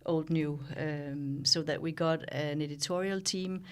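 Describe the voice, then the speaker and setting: A Danish woman in her sixties, a journalist talking thoughtfully in an interview, pausing and restarting as she reflects. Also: low voice, steady tone. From Denmark. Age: 60s. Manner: thoughtful, with frequent pauses and restarts. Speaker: guest, journalist and founder.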